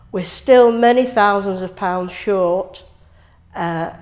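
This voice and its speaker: An older woman from England, a cub scout leader giving an earnest interview in a scout hall, halting with many fillers. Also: on the low side, a little mellow.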